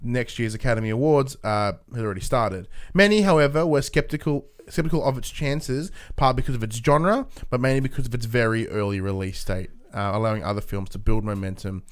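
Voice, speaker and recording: A man's voice: medium pitch, brisk, hardly any pauses, clean tone. A man hosting a casual movie podcast, chatting and reading out news items in a blunt, opinionated way with some swearing. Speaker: podcast host.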